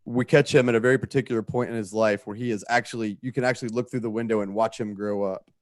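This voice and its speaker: A man's voice: lowish voice, steady tone, quick pace, dry recording. A man chatting casually on a panel, reflective and conversational as he tells his stories. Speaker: show creator, panelist.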